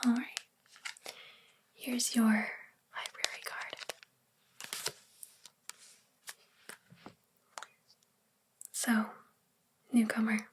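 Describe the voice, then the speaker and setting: A woman playing a sweet, gentle, self-doubting witch librarian in a soft-spoken, confiding ASMR roleplay with a breathy, whispery voice. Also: fairly high pitch, a little hiss or hum, natural voice. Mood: curious, confiding.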